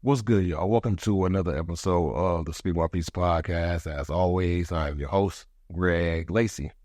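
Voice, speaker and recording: A man in his thirties hosting a solo podcast, talking reflectively with pauses, his voice a bit congested from allergies. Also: low-pitched, a little mellow, no echo, studio quality. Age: 30s. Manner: reflective, pauses to gather thoughts. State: congested from allergies, voice deeper than usual. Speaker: podcast host. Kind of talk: casual solo podcast monologue.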